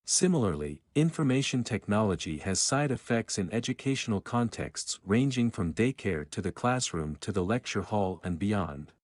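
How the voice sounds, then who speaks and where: Guy reading practice passages out loud, lowish voice, really dry sound no room. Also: big pitch swings, normal speed, few pauses, no echo. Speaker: exam practice reader. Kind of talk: scripted read-aloud of practice passages.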